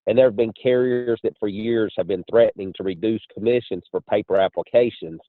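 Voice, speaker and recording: A man giving a measured, explanatory testimonial as an insurance agent on a conference call. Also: on the low side. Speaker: insurance agent and training director. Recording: muffled phone line with room echo.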